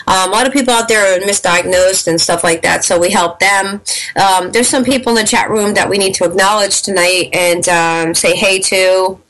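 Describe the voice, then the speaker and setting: A woman's voice: medium pitch, steady tone, normal speed, fairly bright tone, poor audio. A woman hosting a live call-in psychic show, talking in a rambling, emphatic way with lots of fillers and blunt, casual swearing. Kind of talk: casual live online radio talk.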